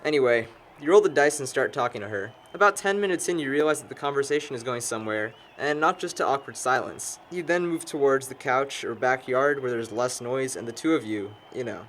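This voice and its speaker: A man's voice: medium pitch, lively intonation, brisk, fairly bright tone, natural voice. A young American man acting as a wordy know-it-all who teases his friends in film dialogue. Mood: musing. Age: young adult. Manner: wordy, teasing, lecturing. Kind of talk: naturalistic amateur film dialogue. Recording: noticeable background noise.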